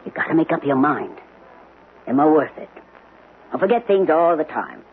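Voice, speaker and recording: An actress playing a bossy, plain-spoken housekeeper in a radio drama, with a folksy, sarcastic, no-nonsense manner. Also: female voice, sits low, very animated, moderate pace. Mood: sarcastic, defiant. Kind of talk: 1970s radio drama acting. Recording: tinny, noisy old radio broadcast.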